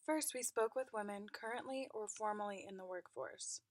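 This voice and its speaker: A woman's voice: medium pitch, moderate pace. Woman reading a project narration. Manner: even, read-aloud delivery. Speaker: student narrator. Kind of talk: scripted narration.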